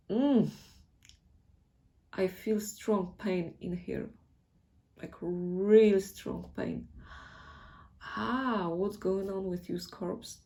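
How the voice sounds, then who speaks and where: Slow-talking woman with a Polish accent. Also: fairly low pitch, gravelly, a little mellow. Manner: hesitant, searching for words, laughs often. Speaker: tarot reader. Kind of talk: casual tarot reading.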